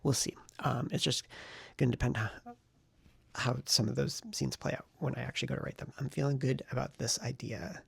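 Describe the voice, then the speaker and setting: A man with a heavily fried, husky voice talks in a loose, conversational vlog style. He thinks aloud, circling back over his points in a self-reflective ramble. The sound is dry, with very little room around the voice. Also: medium pitch, steady tone, a little fast, a bit bright, no echo. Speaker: writing vlogger and novelist. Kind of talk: casual talking-head vlog.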